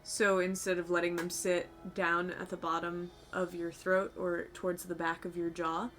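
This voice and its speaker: Young female streamer, low fried voice, rambling chat, faint music under. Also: little pitch movement, moderate pace, fairly bright tone. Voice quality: low voice with vocal fry. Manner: rambling, talks to chat, swears casually. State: voice tired and sore.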